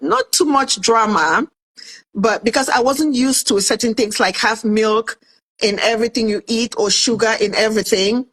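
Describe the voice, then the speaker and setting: Animated storytelling woman from Cameroon. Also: a little high, poor audio. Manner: animated, acts out her own past remarks in quotes. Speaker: guest, author and food entrepreneur. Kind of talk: casual storytelling interview.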